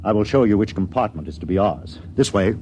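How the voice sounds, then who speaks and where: A pompous, obedient young master played by an actor in a vintage radio drama. His voice is lowish and his speech stiff and formal, with faint noise from the old recording. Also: lively intonation, a little fast, darkish tone, lightly acted. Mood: lofty, stern. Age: young adult. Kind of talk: 1950s radio drama acting.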